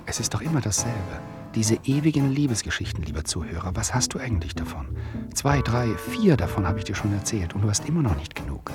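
An actor playing an ironic author who chides the listener, a mocking and lecturing narrator in a radio play. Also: male voice, on the low side, natural voice. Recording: prominent music under the voice. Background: music.

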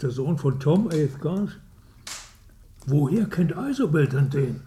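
A man's voice: on the high side, very animated, unhurried, dark tone. An actor playing a disapproving, gossipy middle-aged father in a radio drama, grumbling and dismissive. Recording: roomy, with clinking tableware noise.

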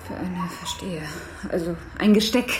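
An actress playing a polite, grieving flower shop saleswoman in a naturalistic crime radio play, soft-spoken and hesitant. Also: on the low side, moderate pace, background noise, natural voice. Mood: polite, subdued.

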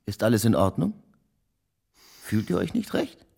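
An actor in a German radio play playing a dutiful young merchant's clerk, evasive and discreet, with polite formal speech. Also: male voice, lowish voice, huge pitch swings, unhurried, gravelly, a little mellow, breathy edge, exaggerated voice. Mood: polite, guarded.

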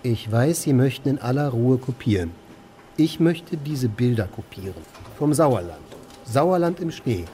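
An actor playing an aimless city drifter in a radio play, talking and musing in short, dry phrases. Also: male voice, medium pitch, animated, a little slow, warm tone, a little hiss or hum, natural voice. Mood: dry, persistent. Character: aimless, plain-spoken male city drifter. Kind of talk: radio play dialogue and first-person narration.

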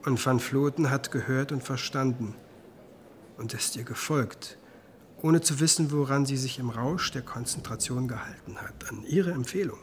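Man playing thoughtful musicologist narrator, radio play, medium pitch, understated, faint background noise. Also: lightly acted. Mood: musing. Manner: measured, musing, understated. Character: reflective male musicologist narrating his memories.

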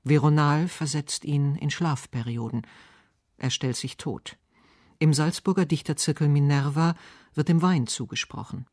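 A man's voice: fairly high pitch, measured pace, a little mellow, dry recording, clean studio sound. A man reading a scripted literary radio essay as its narrator. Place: dry radio studio.

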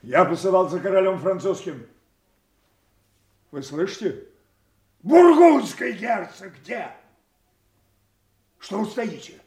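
An actor playing a proud, imperious old king in a solemn, declamatory classical audio drama, quick to rage. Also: high-pitched, animated, a little mellow, roomy sound. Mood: furious.

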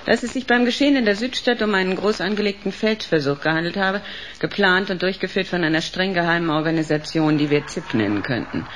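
A woman's voice: on the low side, close to an everyday voice. Actress doing a cold bossy lady professor, clinical radio play delivery, bit of noise behind her. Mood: cold, menacing. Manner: clinical, measured, euphemistic. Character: cold, authoritative female professor running a locked psychiatric ward. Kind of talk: radio drama acting.

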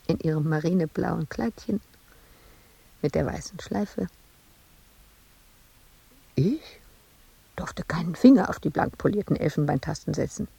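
An actress in a radio drama playing a melancholy woman sculptor who recalls her past in hushed, halting, intimate phrases. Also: lowish voice, vocal fry, breathy edge, heavily acted, rough recording. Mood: wistful.

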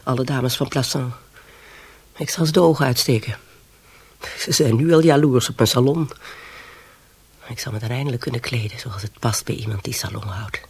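A very husky, ambitious salon hostess in a radio play. She gushes about status and drops into breathy whispers. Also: extremely low pitch, moderate pace, long pauses, darkish tone, no echo, heavily acted. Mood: gloating, dreamy. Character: ambitious, status-hungry bourgeois wife hosting a provincial salon. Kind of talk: radio drama acting.

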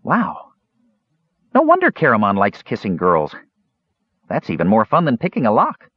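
A man voicing a cocky, excitable, boyish little Kender in an audiobook, brash and taunting. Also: fairly low pitch, normal speed, slightly rough, no echo, highs cut off, exaggerated voice. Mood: delighted.

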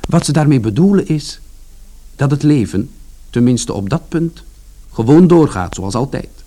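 A man's voice: mid-range voice, animated, normal speed, mellow, natural voice. An actor playing a plain-spoken working-class son who tells his story in a colloquial, confiding first-person radio drama narration. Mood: reflective, chatty.

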